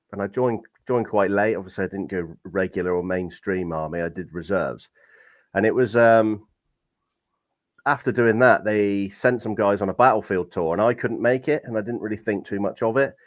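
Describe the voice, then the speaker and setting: British man, late thirties, low voice, dry close sound, laid-back dry humor. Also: no echo. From United Kingdom. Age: 30s. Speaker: podcast co-host. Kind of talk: casual chat.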